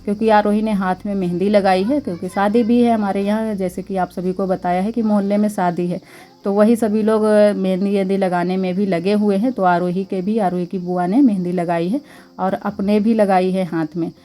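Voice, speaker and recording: A young woman with a sore, slightly hoarse throat, chatting casually as she narrates her daily vlog about household chores at her village home. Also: mid-range voice, fairly even intonation, moderate pace, warm tone. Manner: chatty, homely, thanks viewers. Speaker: vlogger. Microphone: clip-on mic.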